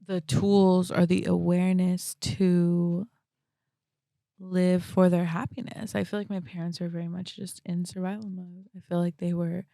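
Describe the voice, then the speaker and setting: Low, creaky young woman. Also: little pitch movement, warm tone, dry recording, clean studio sound. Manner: halting, frequent "like" as verbal filler. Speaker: podcast guest. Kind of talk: reflective casual conversation.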